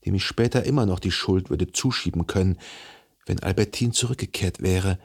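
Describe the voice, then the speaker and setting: An actor playing a melancholy, self-deceiving gentleman, a jilted lover narrating a literary radio drama in a measured, formal and carefully articulated way. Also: low voice, vocal fry, slightly rough, exaggerated voice. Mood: wistful, brooding. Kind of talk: literary radio play narration, reading a letter.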